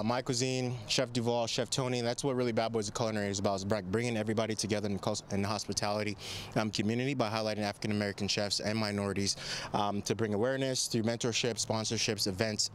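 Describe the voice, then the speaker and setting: A man's voice: lowish voice, a little hiss or hum. Earnest man chef from Barbados. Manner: earnest, with many fillers. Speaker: chef, guest. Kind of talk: live TV interview. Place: outdoor patio set. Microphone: handheld microphone.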